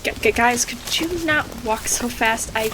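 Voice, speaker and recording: A woman's voice: on the high side, unhurried, natural voice. A young woman acting as a nervous, timid college student in an audio drama, anxious and hesitant. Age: young adult. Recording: echoey room, faint noise.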